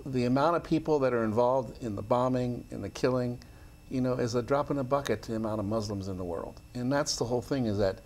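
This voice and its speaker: A man on a studio panel, giving measured, thoughtful opinions with frequent "you know". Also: medium pitch, darkish tone. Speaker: panel guest.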